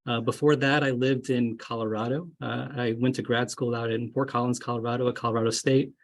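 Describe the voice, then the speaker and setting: A man's voice: mid-range voice, level tone, brisk. A man in his thirties, an associate dean, talking casually to incoming students over a video call, friendly and rambling with many fillers. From grew up moving around, largely Florida. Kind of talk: casual webinar talk. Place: home or office, indoors.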